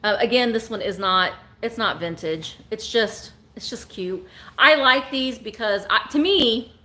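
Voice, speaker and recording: A woman's voice: fairly high pitch, unhurried, pushed and bright. A woman in her forties hosting a live vintage sale from home, chatting and joking as she shows items. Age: 40s. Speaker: online vintage seller.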